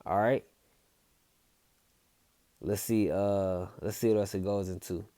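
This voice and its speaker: Man, loose repetitive preaching, warm low timbre, dead-dry recording. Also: steady tone, slow pace, no echo. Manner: casual, repeats himself, tags lines with "man" and "okay". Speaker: street preacher. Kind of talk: informal scripture teaching.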